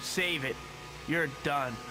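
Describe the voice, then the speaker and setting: An actor playing a young, earnest and cocky superhero in a video game, quippy and often talking to himself. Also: male voice, fairly high pitch, slow, music in the background. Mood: defiant. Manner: quippy, boyish, self-talking. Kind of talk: video game hero acting.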